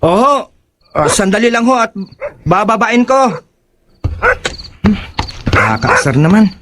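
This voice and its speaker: A man's voice: sits high, sing-song, slow pace, full character voice. A man playing a young man, a loyal but easily rattled friend, in a horror audio drama, speaking in a casual conversational way. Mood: puzzled, annoyed. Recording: clear background noise.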